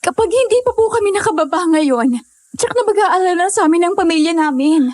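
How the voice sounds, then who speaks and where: A woman's voice: very high voice, lively intonation, fairly quick, darkish tone, no room sound. A woman playing an anxious, earnest young hiker in an audio drama, fretting over a friend. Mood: worried, urgent.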